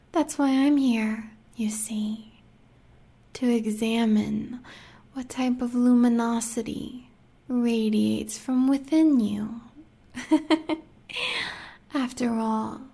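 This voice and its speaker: A woman's voice: on the high side, gravelly, a little mellow, breathy edge, full character voice. A woman with an American accent playing a sadistic, seductive huntress in an audio roleplay, talking in a teasing half-whisper.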